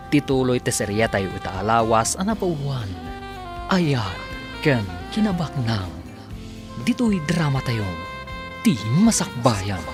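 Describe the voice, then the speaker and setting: A man announcing and narrating a radio drama in a dramatic scripted style. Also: mid-range voice. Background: music bed.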